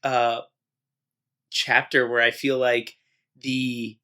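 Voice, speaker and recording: A man's voice: medium pitch, flat delivery, slow pace, sharp tone, smooth. A man co-hosting a casual podcast, talking in a playful, rambling way. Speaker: podcast co-host. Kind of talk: casual chat.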